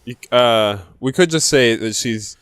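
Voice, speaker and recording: A man's voice: medium pitch, measured pace, fairly bright tone, no echo. A man talking casually as a player in a tabletop role-playing game.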